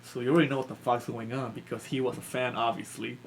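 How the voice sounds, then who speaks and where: A man co-hosting a podcast in casual, joking banter, swearing freely and quick to laugh. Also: medium pitch, little pitch movement, gravelly, slight background noise, low-quality recording. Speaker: podcast co-host.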